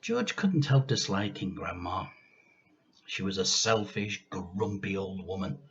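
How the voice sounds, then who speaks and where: A man reading a children's storybook aloud like a teacher, with expressive, deliberate and emphatic delivery. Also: deep voice, muffled recording.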